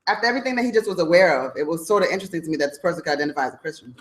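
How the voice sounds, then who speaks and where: A woman co-hosting a call-in show, chatty and quick to cut in. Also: on the low side, expressive, poor audio. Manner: chatty, quick to laugh and interject. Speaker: co-host. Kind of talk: casual call-in radio talk.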